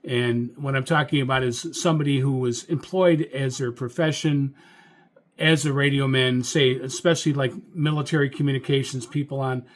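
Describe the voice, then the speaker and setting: An American man, a radio hobbyist host, giving informal tips in a halting, rambling, explanatory way. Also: mid-range voice, steady tone. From United States. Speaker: amateur radio operator and host. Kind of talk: informal instructional talk.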